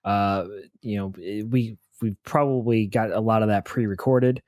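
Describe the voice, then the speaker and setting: A man playing a tabletop role-playing game, improvising casually in character and haltingly thinking aloud. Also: on the low side, a little mellow, no room sound, studio quality.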